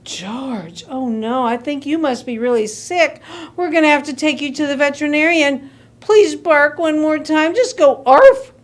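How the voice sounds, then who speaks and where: A woman's voice: high voice, moderate pace, lightly acted. A woman voicing a worried, exasperated mother dog in a children's story, pleading and exclaiming dramatically. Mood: coaxing, worried. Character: worried, exasperated mother dog fussing over her son.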